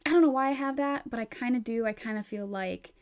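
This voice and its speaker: A woman's voice: fairly high pitch, fast talker, smooth. A woman hosting her own podcast in a casual, rambling way, chatty and frank, with some swearing. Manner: rambling, with many fillers and restarts. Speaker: podcast host. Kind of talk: casual solo podcast chat.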